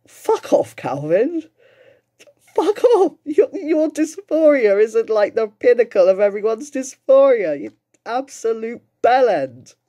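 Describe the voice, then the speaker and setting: A man's voice: very high voice, big pitch swings, slow, warm tone. A British man in his late twenties giving casual, emphatic, sweary reaction commentary. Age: late 20s. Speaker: commentator reacting to another person's video.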